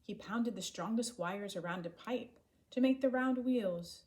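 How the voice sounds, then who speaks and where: A woman reading a children's story aloud in an expressive, animated way, acting out the dialogue. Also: fairly high pitch, a little slow. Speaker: storybook reader.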